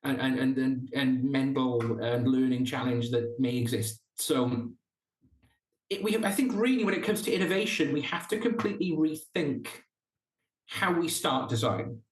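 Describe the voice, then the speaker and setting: A man's voice: medium pitch, lively intonation, moderate pace, reverberant, poor audio. A man from South Wales with a Welsh accent, a podcast guest speaking earnestly and often restarting his sentences. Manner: earnest, with frequent restarts and stumbles. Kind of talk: casual interview chat.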